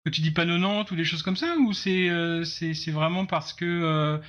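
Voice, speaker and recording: A man hosting a casual interview over a video call, speaking in a halting way with many restarts. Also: on the high side, a bit bright. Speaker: interviewer host. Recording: dull lo-fi call audio.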